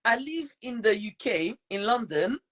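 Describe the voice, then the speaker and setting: A woman's voice: fairly high pitch, animated, unhurried, a bit bright. A woman from Portugal with a French accent, hosting a casual live podcast interview in a chatty, upbeat way. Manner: chatty, upbeat, with many fillers and restarts. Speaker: podcast host.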